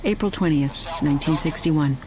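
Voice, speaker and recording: An actor playing a terse military announcer, stating captions in a clipped, official way. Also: male voice, on the high side, normal speed, a loud crowd, natural voice, poor audio. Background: music.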